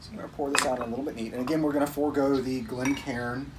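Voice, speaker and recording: A man's voice: medium pitch, fairly even intonation, quick pace. A man hosting a tasting show, chatting casually as he explains things. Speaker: host of a whiskey tasting show. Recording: clearly noisy, clinking glassware and clicks.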